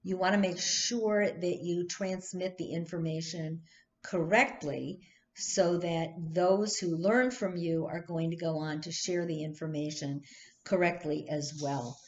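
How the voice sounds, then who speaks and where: Woman, teacher, measured and unhurried, thoughtful with many ums, muffled recording. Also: lowish voice, steady tone, a little hiss or hum. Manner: slow, thoughtful, with frequent ums and restarts. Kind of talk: online text study lesson.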